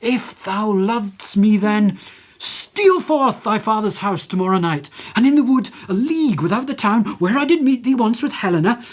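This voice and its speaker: A woman's voice: mid-range voice, lively intonation, moderate pace, natural voice. A woman playing an ardent, proud young male lover, declaiming verse in a Shakespearean radio play. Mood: earnest, conspiring. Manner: declamatory, poetic verse delivery.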